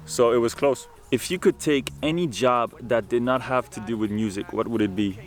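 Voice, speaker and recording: Laid-back young man answering fan questions. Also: mid-range voice. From Ireland. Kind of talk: casual Q&A vlog.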